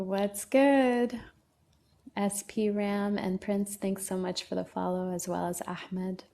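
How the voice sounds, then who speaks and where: A woman's voice: medium pitch, creaky, warm tone. A woman hosting a casual livestream, reading out viewer comments and answering them one by one. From Lebanon. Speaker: livestream host.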